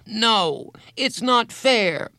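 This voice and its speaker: A man's voice: high-pitched, animated, drawn-out words, gravelly, pushed and bright, no echo, clean studio sound, voice pushed up, exaggerated voice. A man voicing an upset, stubborn schoolboy in an audiobook, whining and defiant. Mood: pleading, upset. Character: upset, stubborn schoolboy feeling betrayed and angry.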